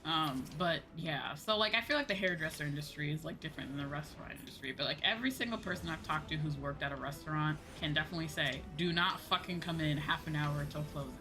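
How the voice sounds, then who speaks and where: A young American woman livestreamer chatting casually, animated and sweary, acting out exchanges with exasperation. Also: deep voice, quick pace, few pauses. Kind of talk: casual chat while gaming on stream. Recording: background music under the voice. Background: music.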